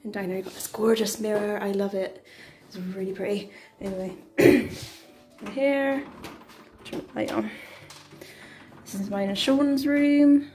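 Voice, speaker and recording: A young woman casually giving a tour of a small apartment, filmed on a handheld phone, with a relaxed, offhand way of talking. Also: mid-range voice, a little slow, noisy background. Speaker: vlogger.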